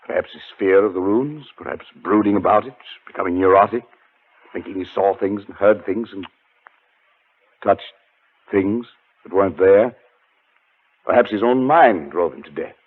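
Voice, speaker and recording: A man acting in a 1940s radio drama as a haunted, educated gentleman, telling his story in a formal, deliberate, dramatic way. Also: deep voice, breathy edge, no echo. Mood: uneasy, explaining. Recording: muffled 1940s radio recording, music behind.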